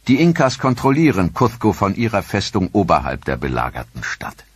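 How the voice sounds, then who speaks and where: A man narrating a history documentary in a measured, sober manner. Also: low voice, wildly swooping pitch, breathy edge, no echo. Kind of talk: scripted narration. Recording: dry studio voice-over.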